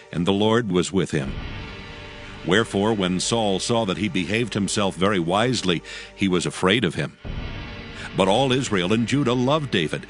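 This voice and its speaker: A man narrating a dramatized scripture audio book from a script in a solemn, measured storytelling way. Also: on the low side.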